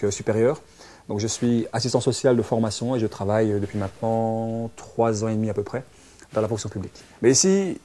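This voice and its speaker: A man's voice: medium pitch, dark tone. A man in his early thirties from Belgium, speaking French with a Belgian accent, telling his own story conversationally in an interview and often restarting with filler words. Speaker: interviewee, dance teacher and social worker. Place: small furnished lounge indoors.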